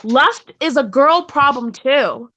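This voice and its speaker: A woman's voice: sits high, expressive, takes it slowly, fairly bright tone, highs cut off. A woman in casual conversation who reads blog posts aloud and often repeats and restarts her phrases as co-host. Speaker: co-host and article reader.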